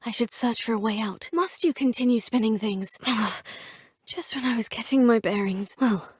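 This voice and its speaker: An actress voicing a composed, curious young witch student with a British accent, polite and dry-witted, in a husky voice. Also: a little high, normal speed, no room sound. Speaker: player character. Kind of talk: video game voice lines.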